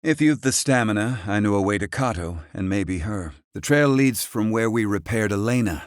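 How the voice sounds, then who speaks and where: Low-voiced, haughty old inventor acted by a man. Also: animated, normal speed, dry recording, studio quality, lightly acted. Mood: brusque, commanding. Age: older. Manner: haughty, curt, archaic erudite phrasing. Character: gruff, proud, learned old male inventor of fantasy village. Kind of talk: video game character dialogue.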